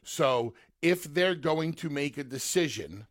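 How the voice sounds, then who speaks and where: A man's voice: on the high side, lively intonation, slow. A man co-hosting a talk radio show, pressing his partner with questions and repeating himself to cut in. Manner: pushy questioning, repeats to interrupt. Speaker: radio co-host.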